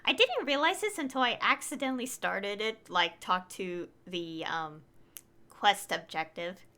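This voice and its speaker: A woman's voice: medium pitch, lively intonation, a little slow, bright, forceful tone. A woman talking casually and in a rambling way, as a streamer commentating on a game. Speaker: game livestreamer. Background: game music.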